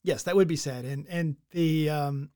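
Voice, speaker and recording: A man's voice: on the high side, measured pace, warm tone. A middle-aged man co-hosting a podcast in casual, crude, joking banter with many restarts. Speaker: podcast co-host.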